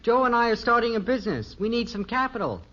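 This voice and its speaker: A man's voice: very high voice, very animated, fast talker, pushed and bright, heavily acted. A young male actor playing a naive, eager American boy in a 1950s radio sitcom. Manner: earnest, naive. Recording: muffled, noisy 1950s radio recording.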